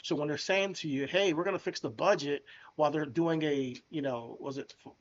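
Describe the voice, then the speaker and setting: Blunt, animated man on lo-fi audio. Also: a little high, a little fast. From United States. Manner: animated, blunt, repeats words and restarts. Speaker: podcast co-host. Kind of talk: casual chat.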